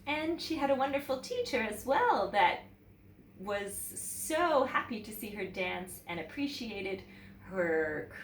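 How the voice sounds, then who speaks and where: Expressive woman reading in a roomy space. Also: mid-range voice. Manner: expressive, pauses to ask listeners questions. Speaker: teacher reading a picture book aloud. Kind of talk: animated children's storytime read-aloud. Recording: roomy echo, distant mic, faint noise.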